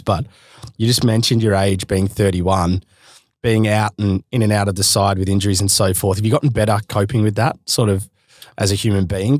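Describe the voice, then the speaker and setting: A man in his thirties with an Australian accent, hosting a casual podcast interview and chatting matily with frequent laughs, recorded on a boom mic in a small studio. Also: no room sound. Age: 30s. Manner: chatty, laughs often, matey slang. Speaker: podcast host. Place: small studio room with couch. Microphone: boom-mounted podcast mic.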